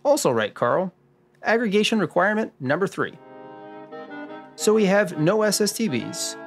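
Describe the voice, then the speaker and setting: Higher-pitched, orderly male sleuth played by a man. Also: sing-song, moderate pace, vocal fry, faint music behind, heavily acted. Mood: assured, instructive. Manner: didactic, orderly. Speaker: lead expert guiding the discussion. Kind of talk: scripted radio drama dialogue.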